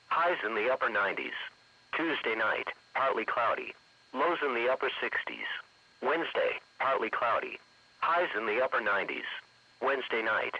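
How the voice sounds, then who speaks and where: Muffled weather radio man, lively pitch. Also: husky, no echo. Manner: formal, segmented automated delivery. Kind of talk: scripted weather forecast reading. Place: radio broadcast captured for an online stream.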